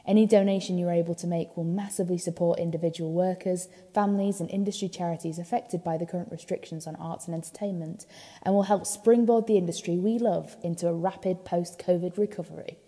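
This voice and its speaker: A woman announcer reads a scripted appeal. Her voice is on the low side and soft-toned, dark and mellow, with a long reverberant tail. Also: fairly even intonation, fast. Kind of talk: scripted narration, charity appeal.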